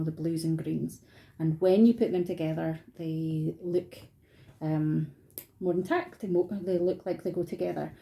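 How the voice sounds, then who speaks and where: A woman's voice: lowish voice, warm tone, low-quality recording. A middle-aged woman with a Scottish accent, chatting informally and encouragingly as she teaches an online craft workshop from her dining room. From Scotland. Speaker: art workshop tutor.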